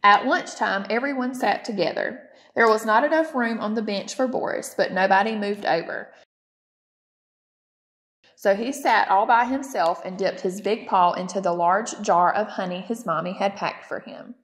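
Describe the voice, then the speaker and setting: A woman's voice: medium pitch, moderate pace. A woman reading a children's picture book aloud as a storytime narrator. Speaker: storytime reader.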